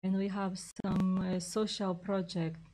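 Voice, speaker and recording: Slow, mellow-voiced nun from Brazil. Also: female voice, medium pitch, level tone. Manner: careful, halting non-native English. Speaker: visiting nun, guest. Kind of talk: casual interview answers.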